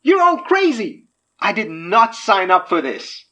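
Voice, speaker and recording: A panicked man who wants out, in a horror audio drama. He is agitated and indignant, his pitch swinging widely. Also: big pitch swings, measured pace, sharp tone, exaggerated voice. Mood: panicked, angry. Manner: agitated, sputtering. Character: panicked, indignant man fleeing strange occult goings-on.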